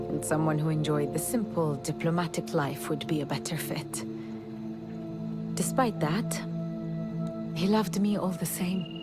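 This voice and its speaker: An actress playing a proud, spirited young merchant's daughter in a video game cutscene. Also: female voice, low-pitched. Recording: loud music behind the voice. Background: loud music.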